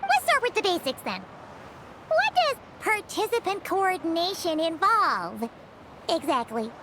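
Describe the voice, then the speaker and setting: A woman voicing a tiny, excitable, bold childlike fairy companion in a video game, chirpy and full of exclamations. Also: very high-pitched, a little slow, noisy background, full character voice. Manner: chirpy, exclaiming, refers to herself by name. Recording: music behind the voice.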